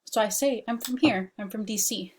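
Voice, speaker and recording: A woman's voice: mid-range voice, normal speed, breathy edge. A young woman from Washington DC giving a casual recorded class presentation as a student, chatty and full of "like" and "um". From Washington DC, United States. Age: young adult. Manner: chatty, frequent "like" and "um" fillers. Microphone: laptop webcam mic.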